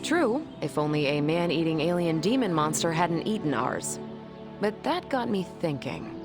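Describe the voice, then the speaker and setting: An actress playing a confident, wisecracking superhero and former pilot in a video game, with a breezy, joking manner. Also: female voice, low voice, very animated, normal speed. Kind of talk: video game cutscene dialogue. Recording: music under the dialogue. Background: music.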